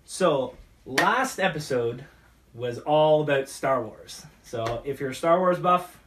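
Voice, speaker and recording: A man's voice: on the high side, animated, slow pace, fairly bright tone. A chatty, enthusiastic man hosting a casual talk show, bantering as he mixes drinks. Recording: roomy, distant mic with noise and clicks.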